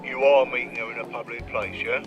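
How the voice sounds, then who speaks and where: A man's voice: medium pitch, level tone, fairly quick, music in the background, natural voice. A man playing a protective, easygoing best mate in an audio drama, matey and reassuring, on a muffled phone call. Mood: concerned, matey.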